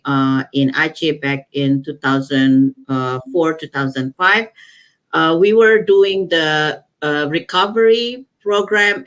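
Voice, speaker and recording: A woman in her forties from Indonesia with an Indonesian accent, chatting in a lively way as an interview guest, with frequent laughs and "like, you know" fillers. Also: lowish voice. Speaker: guest, climate activist. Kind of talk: casual storytelling interview.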